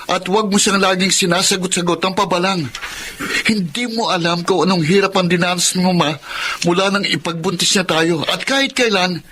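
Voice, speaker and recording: A man's voice: high voice, brisk, bright, forceful tone, a little hiss or hum. A man playing a caring, earnest younger sibling in a Tagalog radio drama. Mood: reproachful.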